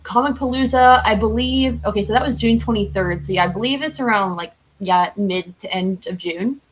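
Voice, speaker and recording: A woman's voice: medium pitch, moderate pace, highs cut off. A young adult American woman chatting casually as a podcast guest, telling stories in a giggly way. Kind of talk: casual chat.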